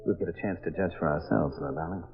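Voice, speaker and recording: An actor playing a wry detective husband in an old radio mystery, with a dry, clipped manner. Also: male voice, low voice, quick pace. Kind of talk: 1940s radio mystery acting. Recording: muffled old radio recording.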